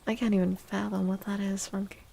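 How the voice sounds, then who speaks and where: A woman livestreamer chatting in a soft, breathy ASMR style, gentle and unhurried, often dropping into whispers close to the microphone. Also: mid-range voice, little pitch movement, a little hiss or hum.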